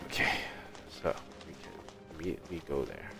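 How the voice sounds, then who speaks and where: Very deep-voiced man streaming a game. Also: big pitch swings, fairly quick, vocal fry. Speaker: livestreamer. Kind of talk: casual gameplay commentary. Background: game music.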